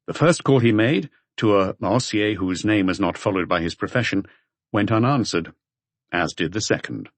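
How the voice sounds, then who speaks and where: A man's voice: lowish voice, normal speed, dry recording. A man reading scripted crime fiction as an audiobook narrator.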